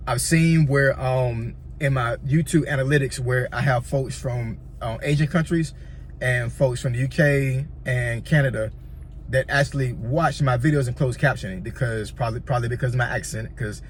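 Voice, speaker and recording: A man's voice: medium pitch, moderate pace, slight background noise. A man with a Southern accent from Virginia giving casual, rambling tips as a YouTuber, often repeating himself and checking in with "you know what I'm saying.". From Virginia, USA. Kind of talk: casual tutorial talk.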